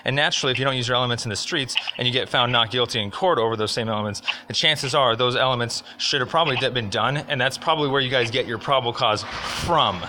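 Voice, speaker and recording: Fast-talking, insistent man with a bright voice. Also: mid-range voice, a little hiss or hum. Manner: insistent, legalistic, wordy. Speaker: citizen making a complaint. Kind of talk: argumentative phone call and conversation.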